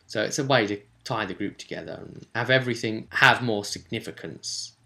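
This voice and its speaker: Bright, forceful man, lowish voice. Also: a little fast, creaky. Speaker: game master and vidcast host. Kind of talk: informal recap of a tabletop role-playing game.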